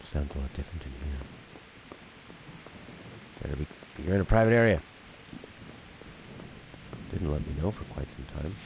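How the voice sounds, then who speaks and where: A man's voice: rumbling low, lively intonation, normal speed, pauses often, background noise. A man giving rambling, joking commentary as he plays a game and thinks aloud. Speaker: let's play streamer. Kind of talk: casual gameplay commentary. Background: game music.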